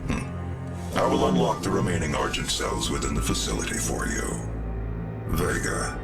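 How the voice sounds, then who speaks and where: An actor playing a calm, authoritative cyborg scientist and facility head in a video game, formal and measured, with a synthetic edge. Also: male voice, rumbling low, gravelly, distant mic, loud music behind the voice, heavily acted. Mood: controlled, commanding.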